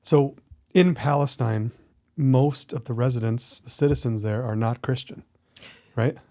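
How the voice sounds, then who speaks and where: A man's voice: mid-range voice, fairly even intonation, unhurried, darkish tone. A man hosting a podcast interview, asking short questions to draw out his guest. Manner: brief prompting questions. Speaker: podcast host.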